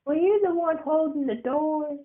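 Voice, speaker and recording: A storyteller voicing a scared, cautious little boy in a high, nervous, pleading voice, in a spooky tale told aloud. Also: normal speed, lightly acted. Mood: wary. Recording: tinny phone-like audio.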